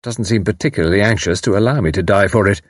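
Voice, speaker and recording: A man voicing a gentle, patriotic Englishman in an audiobook, calm, thoughtful, dry and understated. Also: lowish voice, fast, husky, no room sound. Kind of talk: audiobook character dialogue.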